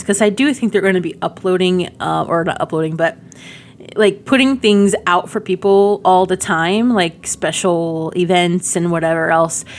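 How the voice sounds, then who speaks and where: Lady middle aged, kinda low voice, rambly cutesy gamer chat, bit of rumble behind it. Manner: rambling, giggly asides, cutesy slang. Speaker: gaming video creator. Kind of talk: casual, chatty game demo commentary.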